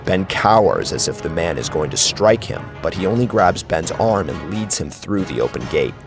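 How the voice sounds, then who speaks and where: Male narrator, horror fiction, gravelly fry, lively range, dramatic delivery. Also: low-pitched, moderate pace. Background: clear music.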